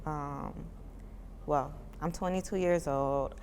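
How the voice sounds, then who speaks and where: Low-voiced young woman in a reverberant room. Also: slow pace, slight background noise. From Miami. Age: 20s. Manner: chatty, many "like" fillers, relaxed informal speech. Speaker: parent giving a testimonial. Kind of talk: casual interview answers.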